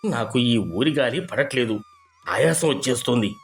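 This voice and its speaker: A man puts on an elderly uncle for a moral tale, selfish and quick to scold. The voice is gruff, at a medium to lowish pitch, with light music underneath. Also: lively intonation, lightly acted. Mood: evasive, grumbling. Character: boastful, selfish elderly uncle making excuses. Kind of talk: dramatized moral story acting.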